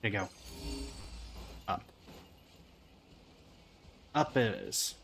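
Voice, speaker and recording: A man's voice: lowish voice, animated, extremely slow, fairly bright tone, slight background noise. A man doing casual livestream game commentary, talking in short remarks to himself and to the characters on his screen.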